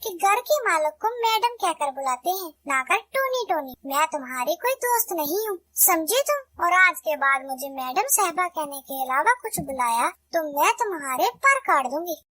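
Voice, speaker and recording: A woman's voice: very high voice, big pitch swings, normal speed, few pauses, bright, forceful tone, full character voice. A woman voicing a spoiled female bird who haughtily orders her maid about in a cartoon. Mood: scolding. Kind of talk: cartoon voice acting.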